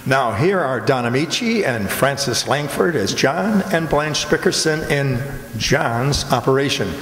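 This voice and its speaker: A man's voice: mid-range voice, expressive, measured pace. A man announcing and narrating a scripted old-time radio comedy in a reverberant hall. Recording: echoey hall, long reverb, background noise.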